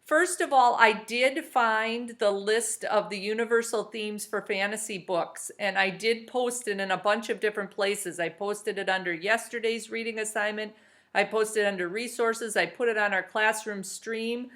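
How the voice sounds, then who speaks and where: Woman teacher, middle pitch, upbeat read-aloud narrator for a class. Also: normal speed. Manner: upbeat, encouraging, steady storytelling. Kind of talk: reading a novel aloud to a class.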